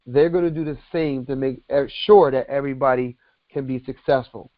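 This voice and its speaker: A man giving a sales presentation as a company president on a conference call, deliberately going through items step by step. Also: medium pitch, dry recording. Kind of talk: sales presentation, explaining packages and earnings. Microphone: conference call line. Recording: dull lo-fi conference call audio.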